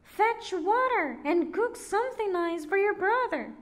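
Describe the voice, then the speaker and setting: A woman voicing a wicked, spiteful old witch in a storybook reading, with a shrill, loud and bossy way of talking. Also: sing-song, measured pace, full character voice. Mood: scolding.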